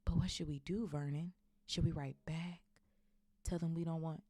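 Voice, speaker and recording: A woman's voice: sits low, steady tone, normal speed, warm tone, no room sound, clean studio sound. Woman playing an anxious, quivering middle-aged aunt. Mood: fearful. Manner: quivering, breathy when afraid. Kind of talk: storybook character reading.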